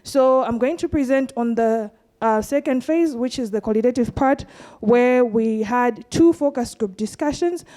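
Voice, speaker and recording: Malawian woman, mellow voice, earnest presenter. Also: a little high. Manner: earnest and explanatory, pauses often with "um". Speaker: medical researcher presenting a study. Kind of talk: conference presentation.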